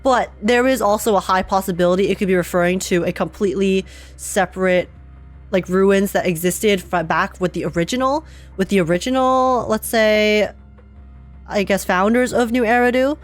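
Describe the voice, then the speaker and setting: A woman's voice: medium pitch, moderate pace, faint music behind. A woman streaming a game, giving live reaction commentary and thinking aloud in a rambling way.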